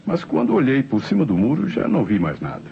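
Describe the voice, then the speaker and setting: A man's voice: mid-range voice, huge pitch swings, fast, vocal fry, dark tone. An actor playing a defensive married man giving hesitant, emphatic testimony in old radio drama style. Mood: uneasy recounting. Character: defensive married man, neighbour who saw a flying saucer. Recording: muffled, faintly noisy old radio recording.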